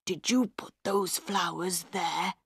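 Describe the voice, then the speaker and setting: A woman's voice: mid-range voice, takes it slowly, husky, no room sound, clean studio sound. A woman voicing a jittery middle-aged male theatre director who talks in a tense whisper in a storybook reading.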